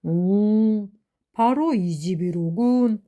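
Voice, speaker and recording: Lady doing a hungry braggy scaredy tiger for kids stories, drawn out and slow, soft mellow tone. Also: medium pitch, slight background noise, pitched below the performer's own voice. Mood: curious, uneasy. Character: boastful, hungry, easily frightened storybook tiger.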